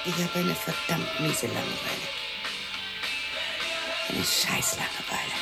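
A woman's voice: deep voice, lively intonation, measured pace, vocal fry, over loud music, full character voice. An actress playing a lonely, cantankerous widow in her sixties in a radio drama monologue, muttering to herself and snapping. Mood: bored, irritated. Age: 60s. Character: lonely, cantankerous sixty-year-old German widow talking to herself.

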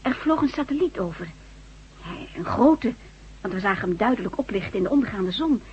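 An actress playing a caring, anxious wife in a radio drama, speaking soothingly and with concern. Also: female voice, mid-range voice, animated, brisk, husky. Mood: hesitant, anxious. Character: worried, caring wife of an amnesiac former astronaut. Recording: dull, lo-fi radio play recording.

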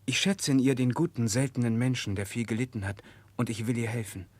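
A man's voice: medium pitch, fairly quick, no room sound, natural voice. A man playing a principled, protective political exile in a 1960s German radio drama, speaking formally and directly. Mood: earnest.

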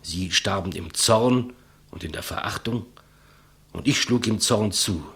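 Husky, low-voiced humble executioner. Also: male voice, a little slow, a bit bright, heavily acted. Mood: reflective, deferential. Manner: deferential, plain-spoken, reflective. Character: humble, despised old-school male executioner, quietly matter-of-fact. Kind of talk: 1950s German radio play acting. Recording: muffled vintage 1959 radio recording.

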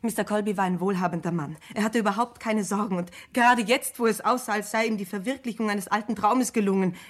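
A woman plays a devoted private secretary in a radio mystery. Her voice sits at an average pitch, and she speaks politely and precisely, with an earnest, slightly nervous manner. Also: fast, lightly acted. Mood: insistent. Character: loyal, nervous, well-informed female private secretary. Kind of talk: German radio crime play acting.

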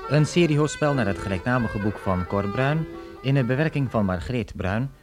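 A man's voice: mid-range voice, lively intonation, normal speed. A man announcing a radio serial in a scripted style. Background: brass music.

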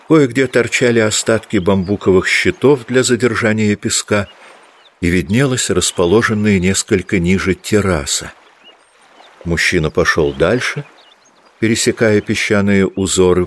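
A man reading scripted literary narration for an audio drama in a measured storytelling manner. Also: low voice.

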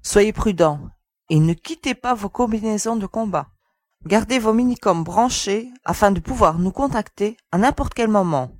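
A woman playing a cautious, protective young spaceship crew member in an audio sci-fi drama, speaking in a measured, firm way. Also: mid-range voice, a little mellow, no echo, natural voice. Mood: concerned.

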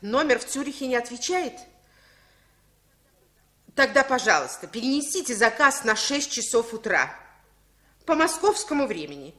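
A high, sharp female voice plays an anxious mother on the phone in an old radio drama. Her delivery is emphatic and swings widely in pitch. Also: very animated, moderate pace, pauses often. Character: agitated mother answering a telephone. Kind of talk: 1960s Soviet radio play acting.

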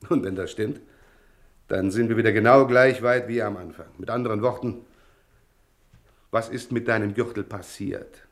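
Crime radio play: actor for male police detective, fairly low voice, methodical, brisk, explains facts plainly. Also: natural voice. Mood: matter-of-fact. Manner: businesslike, explanatory. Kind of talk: German radio crime drama acting.

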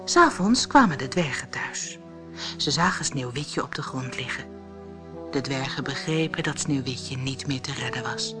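A woman reading a fairy tale as a storyteller, in expressive, dramatic and measured scripted narration. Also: extremely low pitch, music in the background.

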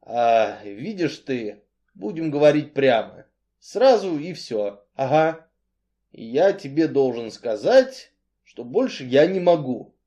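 A man voicing a brooding, weary strongman who speaks bluntly and emphatically in halting, colloquial phrases. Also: medium pitch, very animated, warm tone. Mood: reluctant.

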